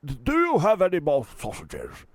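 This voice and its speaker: A man's voice: on the high side, huge pitch swings, normal speed, no echo, voice pushed up. A man voicing a courteous, quaintly well-spoken old male tramp in a storybook, with hesitant little repeats. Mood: polite. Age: older.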